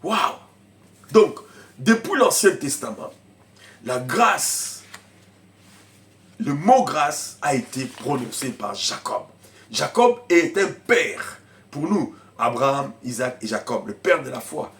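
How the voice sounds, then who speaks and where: A man preaching a sermon as pastor, who has a cold and coughs. He moves between shouted, fervent lines and quiet, mumbled asides. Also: medium pitch, very animated, takes it slowly, pauses often. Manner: speaks in tongues in bursts.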